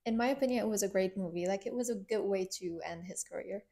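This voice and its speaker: A woman's voice: mid-range voice, fast talker, gravelly, warm tone. A young woman co-hosting a casual film review, explaining the story in a chatty way with fillers.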